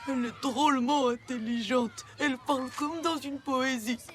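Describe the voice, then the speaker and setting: With music clearly behind, a woman voices a high-pitched little boy in a children's cartoon. He is sweetly naive and eager, clinging and wondering, with a guileless, slightly bumbling way of talking. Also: steady tone, moderate pace, close to an everyday voice. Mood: wide-eyed, admiring. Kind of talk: animated cartoon acting.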